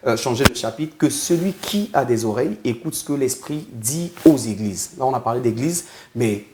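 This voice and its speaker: A man hosting a TV studio interview, with a mid-pitched, ordinary voice. He speaks formally and with great deference. He addresses his guest by grand titles and speaks to viewers with ceremonious courtesy. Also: expressive, moderate pace, noisy background. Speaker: TV journalist and host.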